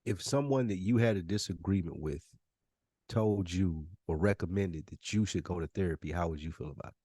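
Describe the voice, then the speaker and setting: A man's voice: sits low, moderate pace, mellow, husky, no echo, studio quality. A man co-hosting a podcast, talking casually as he asks questions and sums up what he has heard. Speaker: podcast co-host. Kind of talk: casual conversation, questioning and summing up.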